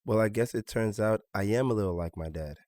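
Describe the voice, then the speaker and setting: A man's voice: on the low side, fairly quick, darkish tone, no echo, clean studio sound, natural voice. A man playing an earnest, stubborn seventeen-year-old son in a naturalistic audio drama, polite but insistent. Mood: earnest, confiding.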